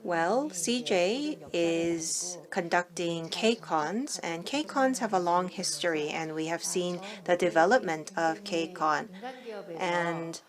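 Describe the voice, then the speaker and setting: A woman interpreting a panel discussion live, formal and halting, phrase by phrase. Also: fairly low pitch, crowd noise. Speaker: simultaneous interpreter at a conference.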